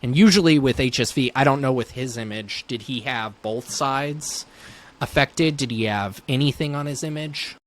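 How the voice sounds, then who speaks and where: A man's voice: medium pitch, moderate pace. A man speaking as a neurologist guest in an interview, thinking aloud as he explains clinical points conversationally.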